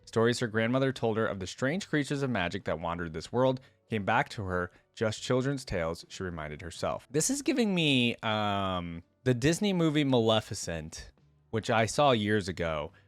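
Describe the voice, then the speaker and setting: Low-voiced man reading and reacting casually. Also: very animated, moderate pace, dry recording, faint music behind. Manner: informal, slangy asides. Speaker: host of a game lore reaction video. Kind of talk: reading a story aloud with casual reaction comments.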